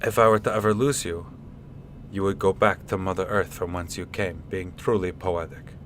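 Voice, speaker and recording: A lowish male voice over faint rumbling noise: an actor as a deadpan, rambling fellow in comic radio theatre. He explains things haltingly and matter-of-factly, with casual self-importance. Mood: deadpan, lecturing.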